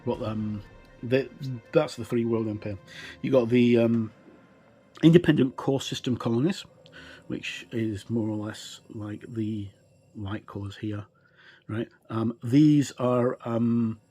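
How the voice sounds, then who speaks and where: A middle-aged man giving a casual, halting, explanatory commentary as he looks through a tabletop game book. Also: medium pitch, dark tone, breathy edge, faint music behind.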